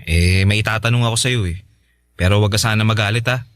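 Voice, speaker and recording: Actor as an earnest young Filipino man, bright-voiced. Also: fairly low pitch, quick pace, smooth, no room sound, studio quality, close to an everyday voice. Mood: hesitant, suspicious. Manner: hesitant, earnest. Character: suspicious, earnest young man, secretly in love with friend. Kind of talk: Filipino radio drama acting.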